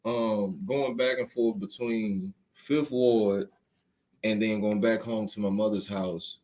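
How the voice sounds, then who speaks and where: A man hosting a casual talk show, loud and emphatic, laughing often as he tells stories. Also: fairly low pitch, fairly even intonation, slow. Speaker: host. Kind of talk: casual podcast chat.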